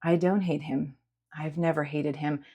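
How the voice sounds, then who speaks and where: A woman voicing a caring, patient woman who reassures with gentle insistence in a dramatic audiobook. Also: low voice, steady tone, unhurried, slightly rough, muffled recording, exaggerated voice. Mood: reassuring. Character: caring, patient woman comforting an upset girl. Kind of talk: dramatic audiobook character acting.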